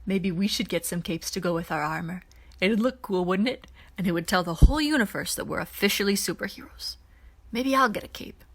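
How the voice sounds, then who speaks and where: A woman's voice: mid-range voice, brisk, no echo, close to an everyday voice. A woman voicing a cocky, eager teenage boy hero in an audiobook, with a breezy, teasing manner.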